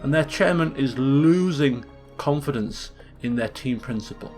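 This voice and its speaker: A man with a slightly hoarse voice, hosting a chatty let's play and walking through game menus. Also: medium pitch, animated, measured pace, a little mellow, soft background music. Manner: upbeat, chatty, explains game menus step by step. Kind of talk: casual gameplay commentary.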